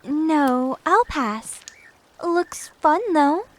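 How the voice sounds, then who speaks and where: Woman acting a teasing young lady, high voice, unhurried, playful, polite, audio drama. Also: animated, a little hiss or hum, full character voice. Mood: friendly.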